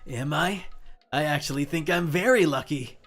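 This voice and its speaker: A streamer voicing a self-deprecating, unsettlingly devoted young believer in hope, reading visual novel dialogue in a theatrical, measured, menacingly cheerful manner. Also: mid-range voice, gravelly, fairly bright tone, soft background music. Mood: fervent.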